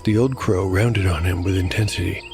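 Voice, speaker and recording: Low voiced guy, measured fantasy narrator and game master, music under him. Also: steady tone, gravelly, darkish tone. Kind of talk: scripted dark fantasy narration with game commentary.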